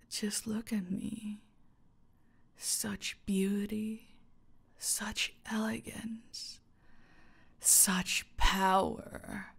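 A woman playing a domineering, seductive cartel boss in a scripted audio roleplay, haughty and menacing, with a breathy voice that often drops to a whisper. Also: medium pitch, heavily acted. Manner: slow, menacing, haughty, purring endearments.

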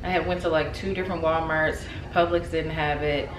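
A woman in her thirties casually talking through a recipe in her home kitchen, chatty and relaxed, with lots of ums and likes. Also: low voice, a little fast. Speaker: home cook vlogger. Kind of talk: casual cooking demonstration. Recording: echoey kitchen with background noise. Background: TV playing in the background.

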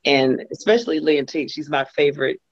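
A middle-aged woman from Los Angeles with a husky voice, talking as a guest singer on a video call. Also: sits low, brisk. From Los Angeles, USA. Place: home room indoors. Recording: dull lo-fi video call audio.

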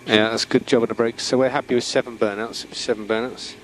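A man working as a race engineer gives calm, methodical, terse instructions over team radio, repeating key calls. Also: mid-range voice, quick pace. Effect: team radio transmission. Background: vehicle hum and noise.

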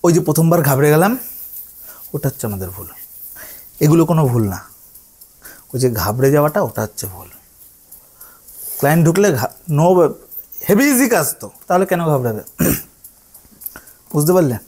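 Animated, high-pitched man teaching. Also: moderate pace, long pauses, breathy edge. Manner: repeats phrases, often checks understanding. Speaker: hairdressing instructor. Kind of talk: hands-on tutorial to trainees.

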